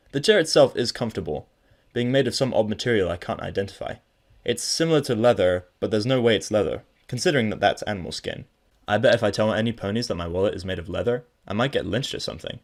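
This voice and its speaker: A young man narrating a story in the first person in a dry, sarcastic, grumbling manner. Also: fairly low pitch.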